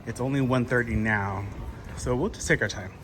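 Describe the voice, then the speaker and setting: A man vlogging a walking tour outdoors on city streets. He is slightly hoarse from a cold and rambles casually, thinking aloud with lots of fillers. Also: mid-range voice, normal speed. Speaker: travel vlogger. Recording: street noise behind the voice.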